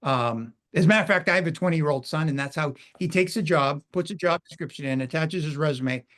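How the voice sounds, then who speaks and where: A man's voice: on the high side, a little fast, a bit bright. A middle-aged man hosting a webinar in a casual, chatty way, full of informal asides. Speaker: webinar host.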